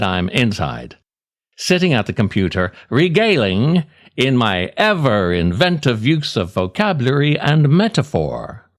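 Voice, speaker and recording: Middle-aged man, wry, slow, expressive delivery. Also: mid-range voice. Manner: wry, self-deprecating, theatrical pauses. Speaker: podcast host, writer reading own essay. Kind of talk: scripted comic monologue.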